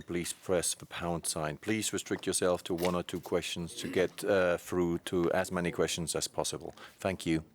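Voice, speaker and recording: Deep-voiced man moderating a conference call.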